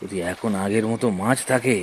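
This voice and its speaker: A man's voice: lowish voice, fairly even intonation, moderate pace, lightly acted. A man voicing a plain-spoken, decisive village fisherman in a Bangla cartoon.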